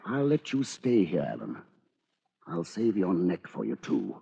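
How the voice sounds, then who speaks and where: An actor playing a dry, resentful British bachelor lawyer with a measured, controlled manner, in 1940s radio drama. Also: male voice, sits low, huge pitch swings, vocal fry, warm tone, breathy edge, heavily acted. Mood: coolly gloating. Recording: dull lo-fi old radio recording.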